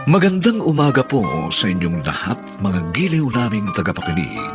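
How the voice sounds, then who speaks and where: A man's voice: on the low side, big pitch swings, moderate pace. A man with a Filipino accent narrating a radio drama in a solemn, theatrical announcer style, drawing out title phrases. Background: loud music.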